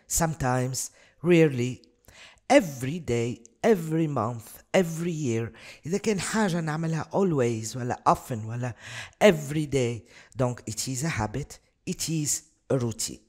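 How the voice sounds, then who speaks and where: Lively Tunisian woman teacher. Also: very deep voice. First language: Arabic. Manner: explains patiently, repeats examples, bursts of fast speech. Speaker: English teacher. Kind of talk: exam revision lesson over slides.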